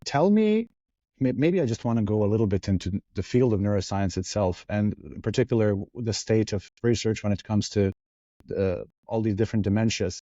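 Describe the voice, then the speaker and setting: A man's voice: fairly low pitch, lively intonation, a little fast, warm tone, no room sound. A man hosting an interview show, friendly and enthusiastic as he asks his guest questions. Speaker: podcast host. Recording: dull lo-fi audio.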